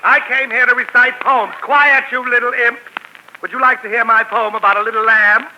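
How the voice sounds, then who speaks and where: A pompous, high, fussy poet. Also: male voice, fairly even intonation, a little fast, sharp tone, lo-fi sound, exaggerated voice. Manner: pompous, sputtering. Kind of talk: 1940s radio acting. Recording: old radio broadcast with faint hiss.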